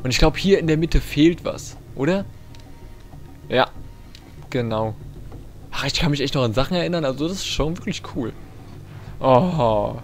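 Excitable young man playing games. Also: on the high side, normal speed, pauses often, faint music behind. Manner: excitable, self-talking, playful exclamations. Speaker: let's play host. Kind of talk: casual video game commentary.

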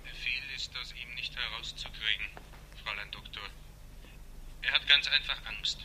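A man's voice: mid-range voice, normal speed, sharp tone, rough recording. An actor in a 1960s radio crime drama playing a dutiful male psychiatric nurse, reporting precisely over a telephone. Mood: clinical, reporting.

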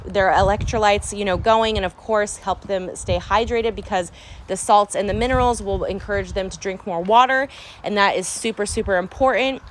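A woman's voice: medium pitch, normal speed, a little hiss or hum. A young woman from San Diego, chatting enthusiastically in a casual vlog about caring for her horses at an outdoor barn. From San Diego, California. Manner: chatty, enthusiastic, repeats "super important". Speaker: horse owner vlogger.

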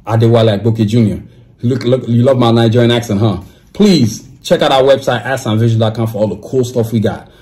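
A man's voice: mid-range voice, darkish tone. A man in his thirties hosting his own podcast, talking casually at a desk in a small room, reading sponsor plugs in a slangy, laid-back way. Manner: laid-back slangy talk with lots of "you know what I'm saying". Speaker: podcast host.

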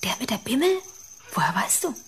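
A woman's voice: a little high, very animated, fairly quick, fairly bright tone. A woman playing a timid, questioning detective's sidekick in a radio play, speaking hesitantly. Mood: uneasy.

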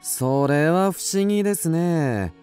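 An actor playing a quirky, affable young amateur sleuth, an intellectual type, in a radio drama. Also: male voice, a little high, animated, slow pace, a little mellow, clear, resonant tone, soft background music. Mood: intrigued.

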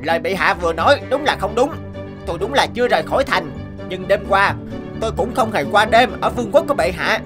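A man voicing a brash, free-spirited court clown with a mocking, theatrical manner in an animated fairy tale. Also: high voice, fast, music in the background. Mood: cocky.

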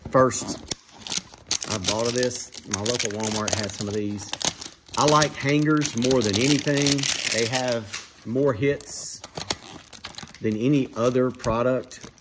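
A man casually commenting to viewers while opening trading card packs at a dining table at home, in a relaxed, chatty way. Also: mid-range voice, noisy background. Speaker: card breaker, channel host.

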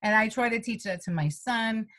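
A woman's voice: on the high side, normal speed. A middle-aged woman from the United States, a health coach being interviewed over a video call at home, rambling along with many fillers. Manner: rambling, lively, many "you know" fillers, frequent laughs. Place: small room at home, indoors. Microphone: webcam on a video call.

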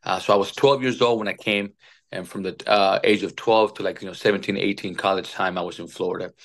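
A man's voice: sits low, fairly even intonation, a little fast. A man in his forties from Venezuela, a Marine veteran guest, talking politely and earnestly on a phone video call. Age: 40s. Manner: polite, earnest, many fillers. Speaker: guest, retired Marine officer. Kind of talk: casual interview. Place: indoor room. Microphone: phone at arm's length.

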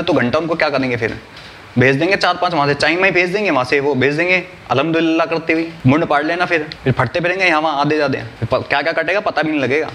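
Man in his thirties, heated and profane reaction host, slightly high voice, faint hiss in the background. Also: fairly bright tone. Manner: heated, blunt, swears freely. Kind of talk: casual reaction commentary. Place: small room indoors.

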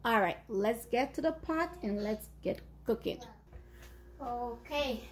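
A woman's voice: on the high side, animated, slow pace, noisy background. Chatty woman cooking at home. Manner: chatty, upbeat, addresses viewers as guys. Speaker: cooking vlogger. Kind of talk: casual cooking chat. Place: home kitchen at the stove.

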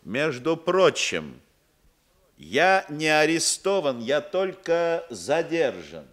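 An actor in a 1960s Soviet radio play playing an old, unflappable mafia boss, evasive, sly and self-assured. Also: male voice, fairly high pitch, very animated, takes it slowly, fairly bright tone, full character voice. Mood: defiant.

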